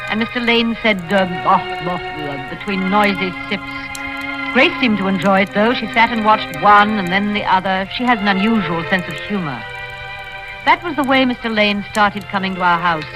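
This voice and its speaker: A genteel, well-off spinster played by a woman narrates a dark radio tale with measured politeness. Her middle-pitched voice stays composed, with music clearly behind it on the old recording. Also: natural voice, low-quality recording. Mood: wry, confiding. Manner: polite, measured, smoothing things over. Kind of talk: 1940s radio drama acting and narration.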